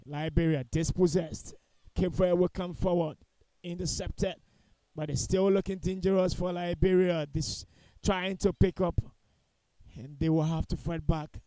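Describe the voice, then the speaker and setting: Guy calling a soccer match, high smooth voice, really opinionated, says stuff twice to hammer it home. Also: fairly even intonation, measured pace, warm tone, dry recording, poor audio. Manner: critical, opinionated. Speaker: football commentator. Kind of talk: live match commentary.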